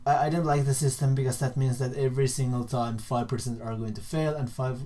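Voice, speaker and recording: A young man from Finland with a Finnish accent, a native Finnish speaker, explaining things casually in a halting way, repeating and rephrasing himself. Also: medium pitch, fairly even intonation, mellow. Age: young adult. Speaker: vlogger. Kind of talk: casual informal explainer to camera.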